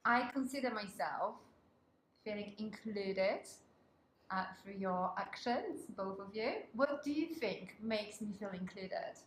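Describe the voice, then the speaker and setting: A woman's voice: mid-range voice, takes it slowly. A woman with a Polish accent interviewing two colleagues in a friendly way about work. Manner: friendly, asks questions, laughs easily. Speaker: interviewer, people partner. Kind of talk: workplace interview. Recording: roomy office with faint noise.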